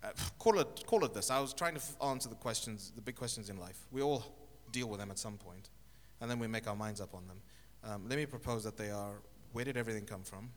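Low-voiced man, thoughtful and halting. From Zimbabwe. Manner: thoughtful, halting, many fillers and restarts. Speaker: guest. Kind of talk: reflective interview storytelling. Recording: echoey hall with faint noise.

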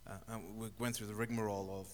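Middle-aged guy from zimbabwe telling his story, low voice, lots of um and restarts, echoey hall, bit of hiss. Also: little pitch movement. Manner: thoughtful, halting, many fillers and restarts. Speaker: guest. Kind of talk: reflective interview storytelling. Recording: echoey hall with faint noise.

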